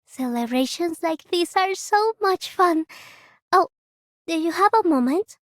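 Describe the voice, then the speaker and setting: High, close and dry: a cheerful young female game operator, proud and eager. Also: expressive, unhurried, fairly bright tone, no echo, exaggerated voice. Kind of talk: anime game character voice line.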